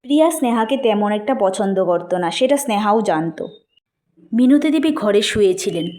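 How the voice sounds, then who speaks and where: A woman's voice: medium pitch, expressive, fairly quick, mellow. A woman narrating a scripted family story for a cartoon.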